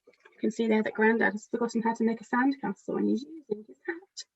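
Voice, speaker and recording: A woman's voice: fairly high pitch, fast, creaky, slightly rough, dry recording, highs cut off. A woman children's author reading a picture book aloud expressively, speaking directly to young listeners.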